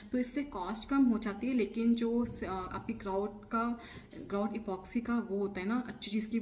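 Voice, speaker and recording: A young woman explains costs straight to the camera in a brisk, teacherly way, slipping English terms into her Hindi. Her voice sits at an ordinary middle pitch for a woman. The recording is close up in a small room, with a faint hiss underneath. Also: darkish tone. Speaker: architect explaining renovation costs. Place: small indoor room against a plain wall. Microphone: camera facing her at close range.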